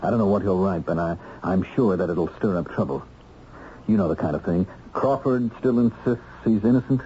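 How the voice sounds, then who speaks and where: An actor playing an earnest, wry ex-convict husband in a radio drama, with a measured and sometimes hesitant delivery. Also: male voice, low voice, warm tone, lightly acted. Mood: tender, wry. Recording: dull, noisy old radio recording.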